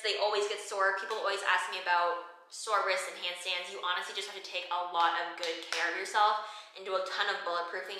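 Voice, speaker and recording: A young woman in her mid-twenties talks through viewer questions in a relaxed, upbeat way, full of fillers like "um" and "like". Her voice is bright and clear. The sound bounces around a bare home gym, so it is very echoey. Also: lowish voice. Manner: chatty, upbeat, frequent "um" and "like". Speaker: fitness creator. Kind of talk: casual Q&A vlog. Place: home gym room with windows.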